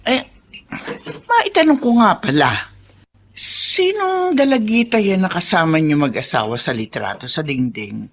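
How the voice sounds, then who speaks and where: A woman's voice: fairly low pitch, very animated, unhurried. A woman playing an elderly, earnest, gossipy family friend in a radio drama, speaking frankly. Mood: earnest, confiding. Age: older.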